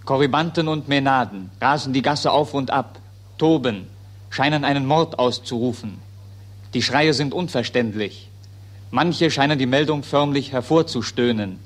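A grave narrator played by a man sets the scene for a radio play. The voice sits at a middle pitch, with measured and precise literary phrasing. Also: close to an everyday voice. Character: grave male narrator reading stage directions.

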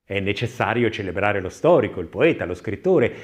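A man reading scripted literary narration as an audiobook narrator, with measured, theatrical phrasing. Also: on the low side, lively intonation.

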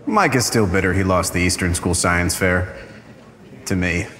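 A man's voice: low voice, normal speed, fairly bright tone. An actor playing an arrogant young male coding champion who boasts and taunts his rival. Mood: smug. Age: young adult. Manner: smug, boastful, theatrical.

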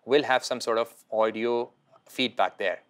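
A man's voice: mid-range voice, steady tone, a little slow, a bit bright. A man, explaining a product step by step in an interview. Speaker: product team member demonstrating an app. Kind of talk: interview walkthrough. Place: indoor room, seated interview.

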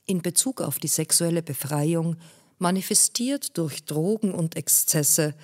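A woman reading scripted literary narration in a measured way, as an audiobook narrator. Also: low-pitched, dark tone.

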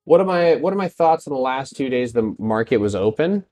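A man's voice: mid-range voice, animated, fairly quick, darkish tone. A man in his thirties talking through stock charts live, joking loosely with his chat between points. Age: 30s. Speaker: stock trading streamer. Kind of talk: casual live chart analysis.

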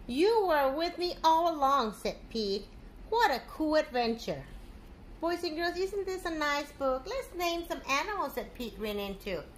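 Middle-aged woman, expressive storybook read-aloud, slow delivery, swooping lively intonation, addressing small children, some background noise. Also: high-pitched. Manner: animated, simple phrasing addressed to children. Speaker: teacher reading to children. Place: living room at home, indoors.

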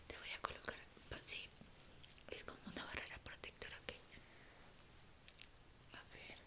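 Young woman whispering softly, ASMR roleplay. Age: young adult. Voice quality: breathy whisper. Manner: soft, reassuring, unhurried. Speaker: ASMR roleplayer playing a dentist. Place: small indoor room.

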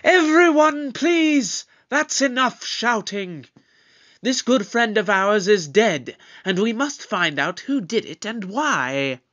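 A man's voice: high-pitched, lively intonation, measured pace, few pauses, pushed and bright, dull audio, full character voice. A man playing an eccentric, commanding Doctor who leads a murder inquiry with formal, theatrical authority in an audio drama. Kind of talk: scripted audio drama acting.

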